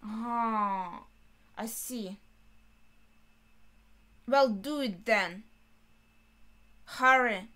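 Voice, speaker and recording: A young woman with a high-pitched voice reacts casually to a show. She talks slowly and haltingly, working out her thoughts as she goes. She records at a desk's distance in a small bedroom. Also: fairly bright tone. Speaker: reaction video host. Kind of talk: casual anime reaction commentary.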